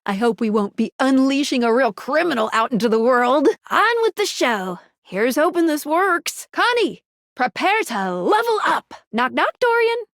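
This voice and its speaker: The chirpy, wisecracking companion who hands out quests in a dating video game, swooping melodically, recorded close and dry. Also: female voice, high voice, moderate pace, no room sound, heavily acted. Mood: upbeat, teasing. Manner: playful, punning, animated. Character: perky, quippy, helpful female guide to dateable household objects. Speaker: voice actress playing a game guide character. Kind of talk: scripted video game character dialogue.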